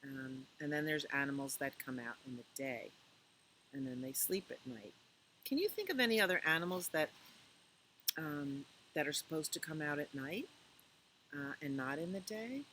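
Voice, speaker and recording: A woman hosting a library storytime for young children, reading and chatting in a gentle, conversational way with questions for her listeners. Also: low voice, expressive, moderate pace, slight background noise, rough recording. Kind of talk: children's picture book read-aloud and chat.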